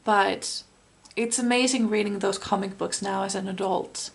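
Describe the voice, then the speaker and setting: A woman with a gravelly, creaky voice that is going hoarse as she speaks. She gives a casual tarot reading in a meandering, chatty way, talking straight to the viewer at a middling pitch. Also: fairly even intonation, moderate pace. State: hoarse, losing her voice. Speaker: tarot reader.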